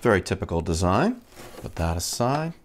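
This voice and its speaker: A man's voice: low voice, big pitch swings, normal speed, a little hiss or hum. A man hosting a hands-on unboxing, casually narrating each step as he works without hurry. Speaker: host of a vintage electronics channel.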